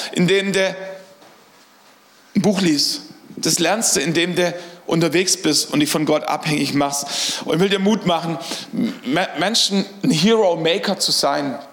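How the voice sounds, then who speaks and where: High-voiced earnest preacher in echoey hall. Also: male voice, gravelly, fairly bright tone. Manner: earnest, repeats words while searching for phrasing. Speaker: pastor. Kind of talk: sermon.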